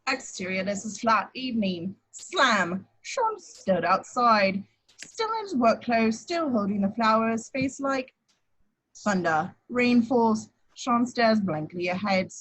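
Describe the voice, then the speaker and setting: A woman's voice: fairly high pitch, expressive, slow pace, fairly bright tone, rough recording. A woman reading out screenplay stage directions as scripted narration in a table read. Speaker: action description reader.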